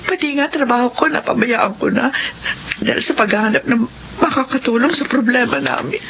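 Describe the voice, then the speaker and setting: A woman's voice: on the high side, a little fast, vocal fry, fairly bright tone. A Filipino woman playing an emotional, worn-down middle-aged mother of a sick child in a radio drama. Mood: troubled, sad. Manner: emotional, plaintive. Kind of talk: classic Tagalog radio drama acting. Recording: dull, lo-fi, noisy radio drama recording.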